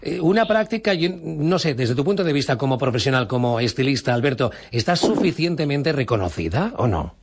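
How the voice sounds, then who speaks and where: A man's voice: mid-range voice, sing-song, brisk, hardly any pauses, slight background noise. A man with a Spanish accent from Spain, presenting a live promotional interview on the radio.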